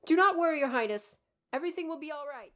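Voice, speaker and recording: A woman's voice: high-pitched, animated, fast, fairly bright tone. A woman playing a devoted royal butler in an ASMR roleplay, speaking in a formal, deferential and precise way with parental care. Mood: alarmed, urgent.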